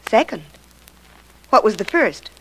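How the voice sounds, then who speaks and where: An actress playing a frightened, trusting young stage star in danger in an old radio drama, anxious and dramatic. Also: female voice, on the high side, sing-song, unhurried, a bit bright, slight background noise, poor audio. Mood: fearful.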